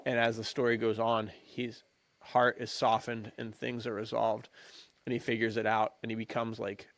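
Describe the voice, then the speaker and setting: Guy chatting on a podcast, gravelly fry in his voice, slangy and into it. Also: on the low side, monotone, moderate pace, a bit bright, dry recording. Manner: slangy, enthusiastic talk. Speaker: podcast co-host. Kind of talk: casual chat about adventure books and films.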